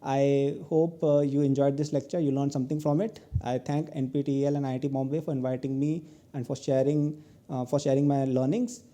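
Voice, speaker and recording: A man's voice: medium pitch, level tone, brisk, dark tone. A man in his twenties with an Indian English accent, giving a recorded online lecture as a guest entrepreneur, who ends many of his points with "okay?". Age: 20s. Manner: earnest advice, tags many lines with "okay?".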